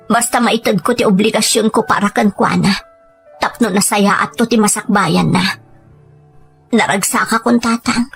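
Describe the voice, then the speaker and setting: A woman's voice: a little high, creaky. A woman playing a devoted, self-sacrificing working mother in an emotional Ilocano Filipino radio drama. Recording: music under the voice.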